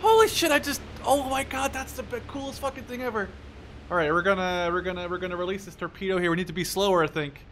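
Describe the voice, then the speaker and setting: Young man, higher-pitched bright voice, excitable sweary gameplay commentary, clear background noise. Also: fast. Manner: excitable, swears freely, talks to himself. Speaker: gaming YouTuber. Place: small room at home.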